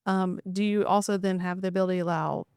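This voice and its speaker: A woman's voice: on the low side, fairly even intonation, fast, darkish tone, clean tone, no echo, clean studio sound. A woman interviewer who listens closely, adds plenty of affirming sounds, and asks long, rambling questions. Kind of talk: casual interview.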